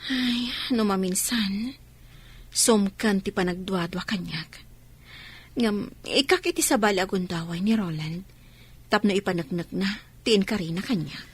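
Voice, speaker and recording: An actress playing a soft, forgiving, hesitant wife with a breathy voice in an Ilocano radio drama. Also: female voice, mid-range voice, a little slow. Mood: hopeful.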